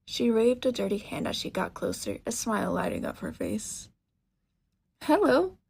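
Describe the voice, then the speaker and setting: Husky, creaky-voiced woman narrating a story. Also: medium pitch, huge pitch swings, moderate pace. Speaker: narrator, fan fiction reader.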